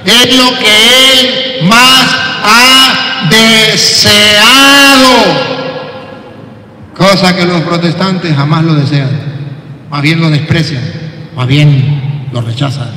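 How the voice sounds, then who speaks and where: Animated priest preaching in a church. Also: male voice, high voice, a little hiss or hum. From Venezuela. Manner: animated, acts out callers and quotes, swings from soft to shouting. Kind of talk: lively sermon. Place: large church, indoors. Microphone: handheld mic. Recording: echoey church from a distance.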